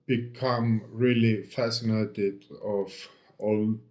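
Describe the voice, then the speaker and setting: Slow, hesitant Icelandic man. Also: lowish voice, level tone, darkish tone. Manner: hesitant, many fillers and restarts. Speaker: interview guest, book restorer. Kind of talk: casual interview answers. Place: home.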